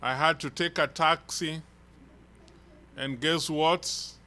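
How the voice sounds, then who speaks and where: Kenyan male church elder, unhurried storyteller, bright clear tone. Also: fairly high pitch, fairly even intonation. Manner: slow, rambling storytelling with restarts. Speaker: visiting elder preacher. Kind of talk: informal sermon introduction. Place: church hall.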